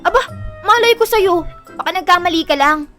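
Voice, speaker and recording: A woman's voice: very high-pitched, lively intonation, brisk, pushed and bright, faint music behind, heavily acted. A woman playing an indignant, flippant younger sister in an audio drama, complaining in a sassy, exclamatory way. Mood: pained, indignant.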